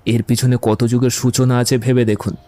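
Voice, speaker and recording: A man playing a witty, romantic young gentleman in a Bengali audio drama, eloquent, playful and courteous in a theatrical way. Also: mid-range voice, level tone, moderate pace, close to an everyday voice. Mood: mock-philosophical.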